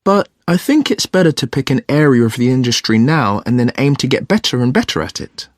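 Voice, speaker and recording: A man acts out an agreeable, realistic young male student in a scripted educational dialogue. His intonation swings widely at a middle pitch, conversational and questioning, in a dry studio sound. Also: very animated, brisk, no echo, lightly acted. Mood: questioning, matter-of-fact. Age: young adult. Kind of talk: scripted listening-test dialogue acting.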